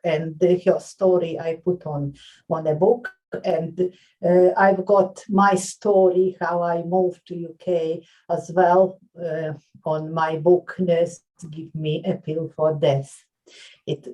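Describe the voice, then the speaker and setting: A Lithuanian woman who works as a nurse and writes books, answering interview questions in halting English with frequent pauses and fillers. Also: lowish voice, mellow, recorded from a distance, rough recording. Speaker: author and nurse.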